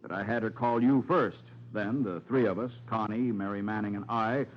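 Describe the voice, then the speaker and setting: An actor playing a cold, scheming murderous husband in a 1940s radio drama, matter-of-fact and callous. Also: male voice, lowish voice, moderate pace, close to an everyday voice. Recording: dull vintage radio recording.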